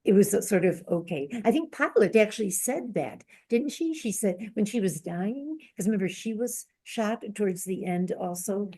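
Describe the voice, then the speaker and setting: A woman's voice: medium pitch, lively intonation, normal speed, hardly any pauses, warm tone, slightly rough. An older woman talking in a casual book club discussion in a rambling, reflective way.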